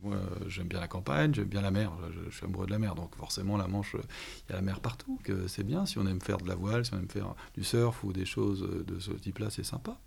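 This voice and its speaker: A man's voice: sits low, brisk, gravelly, a little mellow, slightly rough. A man, a doctor, chatting casually and informally in an interview. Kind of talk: casual chat.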